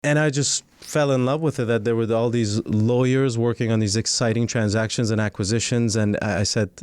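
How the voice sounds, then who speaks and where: A man's voice: medium pitch, fairly even intonation, brisk, a little mellow, smooth, no room sound. A man, a lawyer and guest, telling his life story in a studio podcast interview, articulate and earnest.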